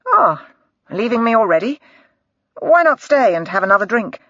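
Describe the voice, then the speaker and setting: A woman reading a smooth-talking polite doctor. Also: fairly high pitch, big pitch swings, normal speed, no room sound, pitched above the performer's own voice, full character voice. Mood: smooth, coaxing. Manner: soft, polite, persuasive. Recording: slightly dull, dry recording.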